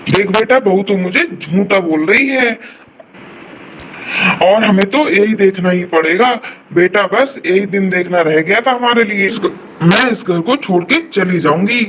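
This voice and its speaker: A man's voice: high voice, fairly bright tone, a little hiss or hum, poor audio. A man voicing an aggrieved, scheming elderly mother-in-law who complains like a martyr in a cartoon family melodrama. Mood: indignant, wounded. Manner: complaining, self-pitying, martyred.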